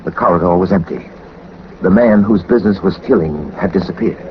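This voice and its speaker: An actor playing a suave, unflappable detective with polite, dry understatement, in the acting and narrating style of a 1940s radio drama. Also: male voice, sits low, brisk, natural voice. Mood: tense narration. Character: suave, unflappable male detective, dryly witty. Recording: dull, very noisy 1940s radio recording.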